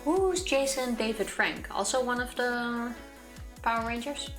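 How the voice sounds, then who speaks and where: A woman's voice: fairly high pitch, measured pace, a bit bright. A woman streaming, in casual chat before a game, with a blunt, dryly joking way of talking. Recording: music playing behind the voice. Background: music.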